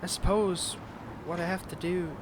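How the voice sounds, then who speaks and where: Man playing villainous ex-lover, fairly high male voice, flirty, manipulative, coaxing, faint menace, drawn-out phrasing, romantic ASMR drama, noisy background. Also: flat delivery, lightly acted. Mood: quietly menacing. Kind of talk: romantic ASMR audio roleplay.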